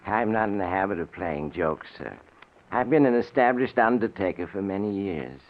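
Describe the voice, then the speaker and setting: An actor playing a polite, dryly insistent undertaker in an old-time radio mystery. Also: male voice, low voice, animated, normal speed. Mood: puzzled, formal. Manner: formal, polite, dry. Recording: tinny vintage radio recording.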